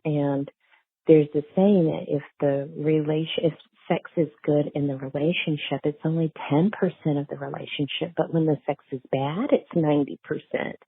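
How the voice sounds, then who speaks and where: A woman interviewed as an author, talking casually and thoughtfully with halting phrases and emphatic key words, on narrow muffled audio. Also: low-pitched, steady tone, vocal fry, breathy edge, no echo. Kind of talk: casual conversational interview, explaining characters.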